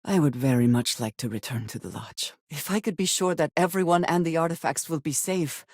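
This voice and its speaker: An actress playing a guarded, earnest woman space adventurer in a video game, speaking in measured, formal phrases with few contractions and a dry wit. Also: low voice, a little mellow, dry recording. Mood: earnest, troubled. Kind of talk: video game character acting.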